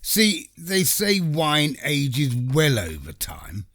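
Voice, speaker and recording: A man's voice: a little high, huge pitch swings, drawn-out words, fairly bright tone, no echo, exaggerated voice. A man playing a flippant, teasing husband of sixty in a radio drama.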